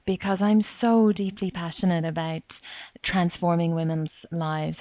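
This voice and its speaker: A woman's voice: on the low side, no echo, phone-quality sound. A woman with an Irish accent speaking as a life coach, earnest and emphatic, stressing her key phrases. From Ireland. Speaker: life coach and yoga teacher. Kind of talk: earnest personal talk about coaching.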